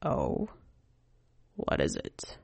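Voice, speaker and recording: A woman voicing a dazed, lustful old man under a spell in an audio story, halting and breathy. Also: a little mellow, no echo.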